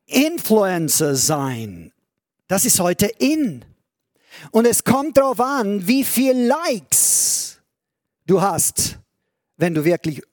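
High-pitched guy preaching, earnest, goes loud then real quiet, dry close sound. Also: dry recording. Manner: earnest preaching, swells loud on key phrases, drops to near whisper. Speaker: pastor. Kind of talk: sermon.

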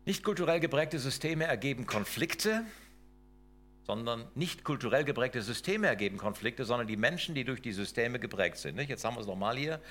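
A man's voice: mid-range voice, expressive, a little fast, a bit bright. A middle-aged man giving a lecture, emphatic and full of rhetorical questions and tag questions. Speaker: lecturer on intercultural work. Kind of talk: lecture with slides.

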